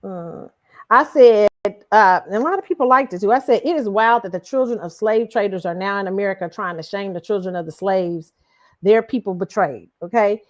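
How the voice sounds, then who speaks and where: A woman's voice: mid-range voice, normal speed. A woman hosting her own commentary show, reacting to a clip bluntly and emphatically. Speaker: show host giving commentary.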